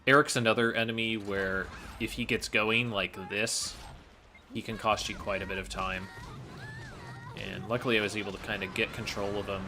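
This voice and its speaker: Low, bright-voiced man commentating a game. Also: level tone, moderate pace, faint music behind. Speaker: speedrunner commentator. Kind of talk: explanatory gameplay commentary.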